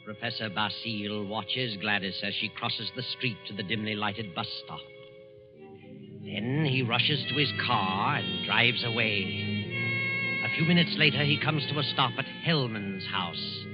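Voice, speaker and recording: An actor as the dramatic narrator of a 1940s radio suspense tale, telling it in a scripted storytelling manner. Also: male voice, fairly low pitch, very animated, unhurried, music in the background. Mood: suspenseful. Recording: muffled 1940s radio broadcast.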